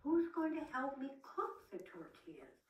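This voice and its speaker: A woman's voice: high voice, sing-song, measured pace, highs cut off, slight background noise, pitched above the performer's own voice. An older woman voicing a hard-working, stubborn little burro who calls on friends in a children's storybook. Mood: eager, asking. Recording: echoey room, distant microphone.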